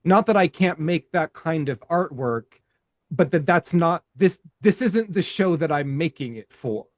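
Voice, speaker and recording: A man talking reflectively on a podcast in a halting, thoughtful way, choosing his words with care. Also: fairly high pitch, lively intonation, no echo, clean studio sound. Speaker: podcast host and artist.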